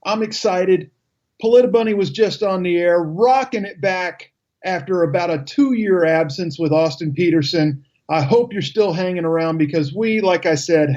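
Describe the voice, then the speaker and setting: A man's voice: high voice, a little mellow. A man hosting a casual radio talk show, chatty and upbeat, with stammered restarts. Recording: slightly dull lo-fi sound.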